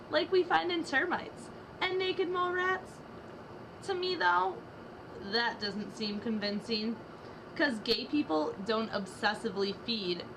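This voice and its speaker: A young woman with a high, light voice reads rap verses in a rhythmic, dramatic way, swapping lines back and forth with a partner. The homemade recording carries a steady wash of hiss and room noise underneath her. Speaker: student reader. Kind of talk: dramatic reading of rap lyrics.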